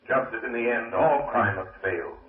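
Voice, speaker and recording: A man's voice: medium pitch, normal speed, lightly acted. An actor playing a mysterious, menacing male avenger of crime in a solemn, declamatory 1930s radio drama style. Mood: ominous. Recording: muffled echoey old radio recording, distant, faint music.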